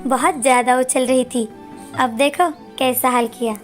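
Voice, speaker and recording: A woman's voice: high voice, steady tone, a little slow. A woman voicing a jealous, cruel young stepsister girl, bossy and scornful, in a cartoon moral story. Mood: spiteful, scornful. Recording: background music under the voice.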